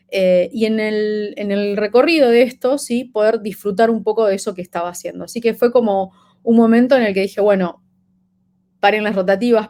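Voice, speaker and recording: Woman, 30s, light Peruvian accent; halting conference speaker, fillers and restarts. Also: medium pitch, a little mellow. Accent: light Peruvian, lived in Argentina. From Peru. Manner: hesitant, many fillers and restarts. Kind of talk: conference talk.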